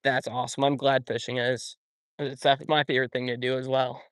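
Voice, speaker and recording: A young man chatting loosely while he fishes by a pond, rambling from one thing to the next and answering his viewers as he goes. His voice sits at an ordinary middle pitch, and the recording is dry and close with no room sound. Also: little pitch movement, a little fast, clean tone, dry recording. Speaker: fishing YouTuber. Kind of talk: live-stream chat while fishing. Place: outdoors by a pond.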